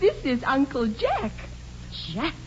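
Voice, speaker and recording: An actress playing a prim, sweetly combative young British ingénue, precise and emphatic, in 1940s radio comedy style. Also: female voice, high-pitched, wildly swooping pitch, slow pace, heavily acted. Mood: pointed. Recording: muffled, noisy 1940s radio broadcast.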